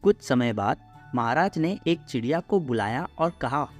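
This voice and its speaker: A man's voice: mid-range voice, expressive, unhurried, faint music behind. A man narrating a scripted children's story as the storyteller.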